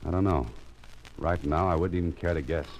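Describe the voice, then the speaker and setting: An actor playing a wry, tough private investigator in 1940s radio style, with dry, understated delivery. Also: male voice, deep voice, fairly even intonation, a little fast, gravelly, darkish tone, slight background noise. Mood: firm, tense. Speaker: actor playing the lead detective. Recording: muffled vintage radio recording with music.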